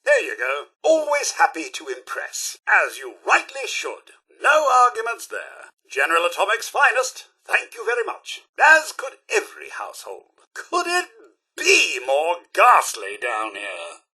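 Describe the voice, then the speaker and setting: An actor playing a prim, loyal robot butler in a video game, with a British accent and a formal, theatrical, fussily polite manner. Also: male voice, sits high, huge pitch swings, a little slow, sharp tone, slightly rough, full character voice. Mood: cheerful, proud. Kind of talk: scripted video game companion dialogue.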